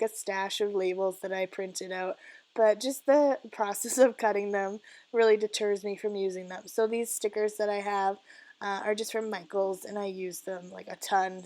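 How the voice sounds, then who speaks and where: A young woman from Canada with a husky, croaky voice, casually narrating her scrapbooking in a home basement, full of "uh" fillers and soft laughs. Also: medium pitch, no room sound. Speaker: scrapbooking vlogger. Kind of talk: casual voice-over narration of crafting. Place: home basement craft area.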